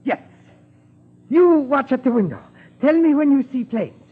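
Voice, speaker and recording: Exultant Japanese spy, very high swooping voice, put-on accent, clipped broken English, tinny old radio drama. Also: male voice, animated, measured pace. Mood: eager, menacing. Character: gloating, fanatical Japanese spy, chauffeur dreaming of rank. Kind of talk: 1940s radio drama acting. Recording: muffled, tinny 1940s radio recording.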